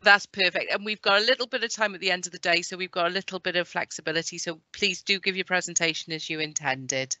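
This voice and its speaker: A woman's voice: on the low side, quick pace, hardly any pauses, pushed and bright, dry recording, dull audio. A woman chairing an event in an informal, chatty and effusive way.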